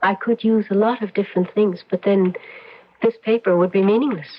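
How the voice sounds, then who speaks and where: A woman's voice: medium pitch, steady tone, brisk, close to an everyday voice. Actress playing a seductive scheming witch, lo-fi. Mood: cool, tempting. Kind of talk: old-time radio drama acting. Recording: dull lo-fi radio drama recording.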